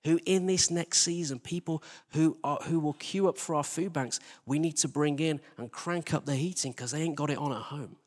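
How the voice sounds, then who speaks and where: A man's voice: on the high side, normal speed, hardly any pauses, a little mellow. A British man giving an informal church sermon in an earnest, conversational manner, addressing his listeners directly as a preacher. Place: large church hall, live service. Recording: reverberant hall.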